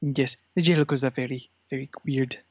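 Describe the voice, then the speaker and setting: A man voicing an imperious, scheming cat in a comedy podcast, speaking in a pompous, halting, grandiose way. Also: mid-range voice, fairly even intonation, no echo, dull audio, lightly acted. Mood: pompous lecturing. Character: imperious, scheming male cat plotting feline takeover. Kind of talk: improvised comedy podcast character.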